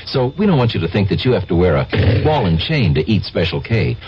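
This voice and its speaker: A man's voice: deep voice, very animated, brisk. A man with an American accent reading a conversational radio advertisement as a spokesman. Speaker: commercial spokesman. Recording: dull vintage radio recording, faint hiss.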